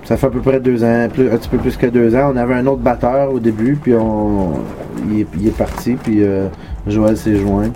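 A man from Ottawa, a band member chatting casually in an interview and talking haltingly with many fillers. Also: mid-range voice, little pitch movement, mellow, a little hiss or hum.

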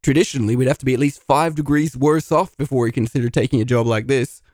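A man's voice: medium pitch, fast talker, a little mellow, dry recording, clean studio sound, close to an everyday voice. A man voicing a self-pitying failed dungeon master in a comedy audio drama, talking in a melodramatic way. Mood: dramatic. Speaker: narrator.

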